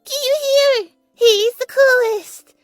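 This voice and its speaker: A woman's voice: extremely high pitch, fairly even intonation, takes it slowly, no echo, clean studio sound. A woman voicing a timid but eager little bunny girl in an audio drama, with a small childlike voice and a hesitant, stammering delivery. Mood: eager, proud. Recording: music under the voice.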